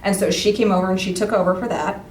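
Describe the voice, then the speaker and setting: A woman's voice: mid-range voice, fast. Chatty woman, roomy distant recording. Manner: chatty, animated retelling with quoted dialogue. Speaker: interview guest, radio play cast member. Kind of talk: casual conversational storytelling. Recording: roomy, distant mic, faint background noise.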